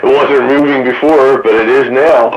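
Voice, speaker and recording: A middle-pitched man comes through a dull radio link with faint static around him. He chats casually in an anecdotal, wisecracking way, like an operator on a radio round table. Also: steady tone, moderate pace. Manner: joking, anecdotal. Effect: two-way radio transmission. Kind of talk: casual chat. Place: radio transmission picked up by a receiver. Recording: muffled, staticky radio reception with faint noise.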